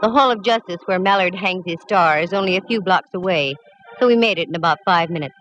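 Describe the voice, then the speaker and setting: A woman's voice: lowish voice, fairly bright tone, faint music behind, close to an everyday voice. An actress playing a wisecracking woman private eye who also narrates, dry and hardboiled, from a dull old radio broadcast. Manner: wry, hardboiled, quick with quips. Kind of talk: 1940s radio acting.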